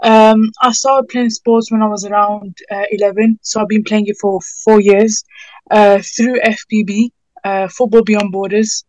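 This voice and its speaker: Teenage female, British accent, fairly even intonation, earnest, informal, hesitant, interview answers, dry recording. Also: mid-range voice, measured pace, no room sound, lo-fi sound. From United Kingdom. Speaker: interviewee, student campaigner.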